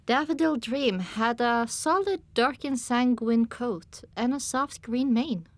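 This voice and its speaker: High-pitched woman narrating, dry sound. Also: slow pace, dry recording. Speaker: audiobook narrator. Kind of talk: first-person scripted story narration.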